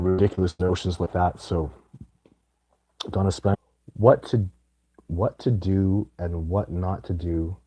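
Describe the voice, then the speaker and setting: A hoarse, raspy-voiced man hosting a casual live chat, answering viewer questions in a rambling, emphatic way with many false starts. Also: deep voice, warm tone, no echo, rough recording. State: hoarse, sore throat.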